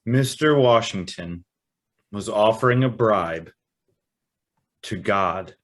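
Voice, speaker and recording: An actor playing an earnest, wistful young man in a radio drama, measured and reflective with halting phrases. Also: fairly low pitch. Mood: grave, dramatic. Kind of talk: radio drama acting and narration.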